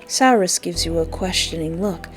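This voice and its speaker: A woman's voice: fairly low pitch, moderate pace. A woman reading a visual novel aloud expressively, with playful, giggly reactions. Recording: music behind. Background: game music.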